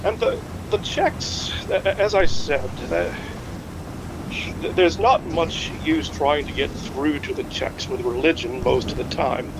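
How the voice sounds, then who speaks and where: Male actor as learned history expert, hesitant, pedantic, slightly high male voice, naturalistic podcast drama, noisy rumbling background. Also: lightly acted. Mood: thoughtful, explanatory. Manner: halting, pedantic, scholarly. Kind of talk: naturalistic fiction podcast acting.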